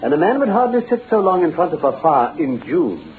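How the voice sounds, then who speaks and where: A man with a British accent playing a confident, precise gentleman detective in an old-time radio drama. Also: on the high side, wildly swooping pitch, a little fast. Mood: analytical. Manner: precise, articulate, self-assured. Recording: muffled, phone-like old radio recording with hiss.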